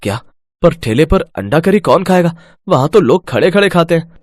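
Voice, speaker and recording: Guy playing a earnest young street vendor husband in a story, highish voice, dry sound. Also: animated, moderate pace, no echo. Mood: doubtful. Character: earnest young husband selling eggs from a cart.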